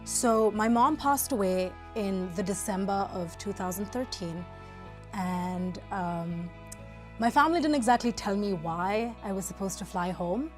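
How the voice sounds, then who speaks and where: Young woman from singapore, mid voice, chatting candidly in an interview, soft music under it. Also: mid-range voice, measured pace. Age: young adult. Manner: candid, reflective, conversational. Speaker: interviewee, university student. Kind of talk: personal interview recollection.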